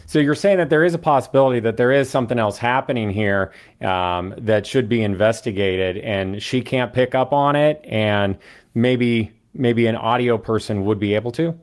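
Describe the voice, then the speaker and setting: A man's voice: medium pitch, normal speed. A man hosting a true crime show, talking conversationally as he interviews a guest. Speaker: podcast host and interviewer.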